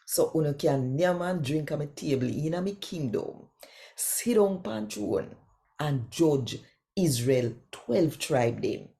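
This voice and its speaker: Jamaican female devotional host, storyteller delivery, creaky voice, slow measured pace. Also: deep voice, lively intonation, warm tone. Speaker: host and scripture reader. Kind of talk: devotional reading in Jamaican Patois.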